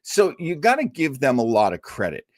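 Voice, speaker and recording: Male radio-show host, mid-pitched and animated, conversational, emphatic, given to rambling asides. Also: moderate pace, no room sound, studio quality. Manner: enthusiastic, emphatic. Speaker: host of an old-time radio listening show. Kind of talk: casual, chatty introduction to a radio show.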